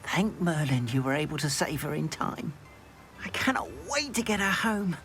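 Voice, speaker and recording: A woman's voice: deep voice, animated, moderate pace, a bit bright, faint music behind. An actress playing a sentimental, doting witch in a video game, effusive, fussy and theatrical. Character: sentimental, doting witch pining for her pet. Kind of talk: video game cutscene acting. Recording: clear background ambience noise.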